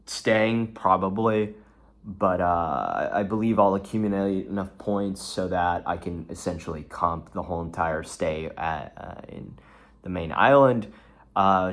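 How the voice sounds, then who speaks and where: A man's voice: sits low, a bit bright. A young man from Texas, rambling through a solo vlog with lots of restarts and fillers. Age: young adult. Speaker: vlogger.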